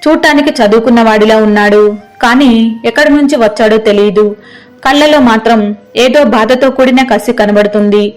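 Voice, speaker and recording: A woman's voice: a little high, brisk, darkish tone, soft background music. A woman narrating a scripted moral story as a storyteller.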